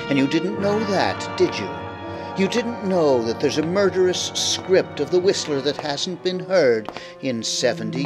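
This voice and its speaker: Brief: male promo voice, lively and teasing, music behind. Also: mid-range voice. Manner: teasing, rhetorical questions to the listener. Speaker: host of a radio workshop promo. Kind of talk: parody of an old radio mystery intro.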